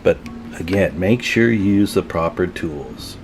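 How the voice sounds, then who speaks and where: A man with a low voice talks through a repair job step by step. His delivery is informal and folksy, like someone explaining while his hands are busy. Faint music sits behind him. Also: animated, a little slow. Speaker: radio repair tutorial host. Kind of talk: casual hands-on tutorial narration.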